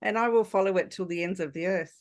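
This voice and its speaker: Lady podcast host chatting casually, average pitch, kinda feels out her words. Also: fast talker. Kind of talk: casual conversational interview.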